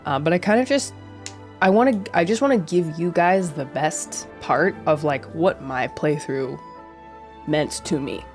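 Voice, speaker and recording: A woman's voice: low voice, unhurried. A young woman streamer with a head cold, a little nasal, talking earnestly to camera in a rambling, reflective way with many restarts. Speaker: streamer and video creator. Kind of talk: casual reflective commentary to camera. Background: faint background music.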